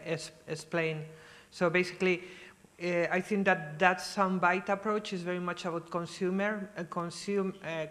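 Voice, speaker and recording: A woman speaking on a conference panel in a function room, direct and brief. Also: fairly low pitch. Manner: direct, brief, non-native phrasing. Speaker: panel member for radio broadcaster. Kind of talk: panel discussion answer.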